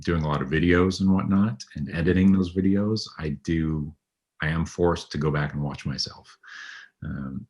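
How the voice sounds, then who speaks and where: A man in his thirties, a veterinary radiologist being interviewed, talking in a low, even, unhurried way with frequent ums. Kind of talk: conversational interview.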